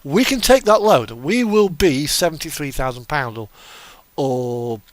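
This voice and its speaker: A man's voice: fairly high pitch, expressive, measured pace, no room sound. A man giving casual live commentary as he streams a game, chatting and thinking aloud.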